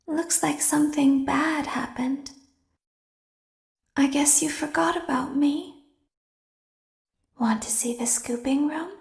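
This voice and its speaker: A woman voicing an eerie, childlike female animatronic in a video game, calm and coaxing with a quiet menace, speaking in measured, deliberate phrases. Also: sits high, creaky, roomy sound, heavily acted. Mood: quietly menacing. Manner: measured, deliberate, short instructions. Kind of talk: scripted video game voice acting.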